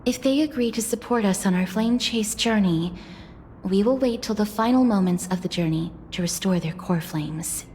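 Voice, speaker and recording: An actress playing a poised, gentle, courteous young woman in a video game, speaking formally and with measured care. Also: medium pitch, slight background noise, close to an everyday voice. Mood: calm explaining. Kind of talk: scripted video game drama.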